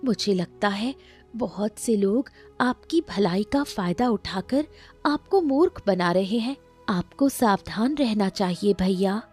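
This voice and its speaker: Caring, worried younger sister for a cartoon moral tale, played by a woman; measured pace, earnest scolding. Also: medium pitch, animated, darkish tone, breathy edge, faint music behind. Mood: concerned.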